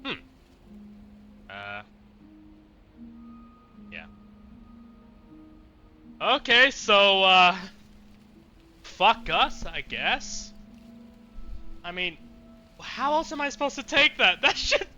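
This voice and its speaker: A man's voice: high voice, big pitch swings, extremely slow, long pauses, sharp tone, highs cut off, faint music behind. A man doing casual, sweary let's play commentary, reacting with exasperation as he streams. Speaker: video game streamer.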